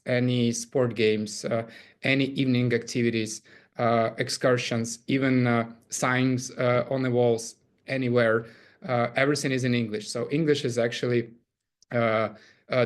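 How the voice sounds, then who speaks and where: Halting Ukrainian-accented man, flat delivery. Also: medium pitch. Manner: hesitant, many filler pauses. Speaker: camp CEO presenting. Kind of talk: webinar presentation.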